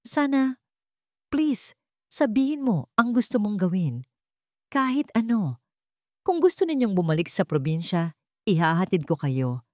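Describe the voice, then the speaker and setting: A woman's voice: medium pitch, very animated, a little slow, long pauses, warm tone, dry recording, studio quality, pitched above the performer's own voice. A woman voicing a wealthy male CEO, broken with remorse and earnestly pleading for forgiveness in a dramatic audio story.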